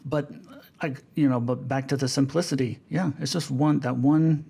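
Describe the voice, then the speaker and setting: A man speaking as a guest in a casual podcast discussion, in a halting, conversational way that repeats phrases for emphasis. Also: mid-range voice, steady tone, creaky, dark tone, breathy edge. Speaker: podcast guest, film composer. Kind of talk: casual podcast discussion about film music.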